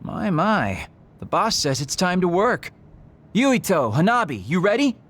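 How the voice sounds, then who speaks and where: A man's voice: high-pitched, sing-song, measured pace, a bit bright, exaggerated voice. A man voicing a flippant, easygoing young soldier in an anime-style video game, playful and breezy.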